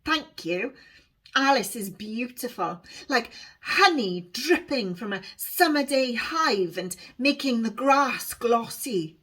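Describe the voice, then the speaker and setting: A woman voicing an excitable, boastful young Welsh girl in a storybook, eager and dramatic as she tells tall tales. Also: a little high, slow, breathy edge, full character voice. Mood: delighted.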